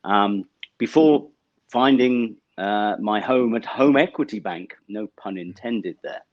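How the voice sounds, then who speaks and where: A middle-aged British man speaks in a low, measured voice, walking through his answers one step at a time with small fillers. The video call audio is dull and lo-fi, close and dry. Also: dry recording. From United Kingdom. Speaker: bank representative guest. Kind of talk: explanatory interview answers. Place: office with banner backdrop, indoors.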